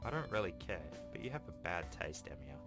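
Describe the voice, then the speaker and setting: A man's voice: low-pitched, sing-song, fast talker. A man voicing a prim, suspicious teenage schoolboy who speaks in a blunt, judgmental way in a visual novel. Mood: disdainful. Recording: voice over game music.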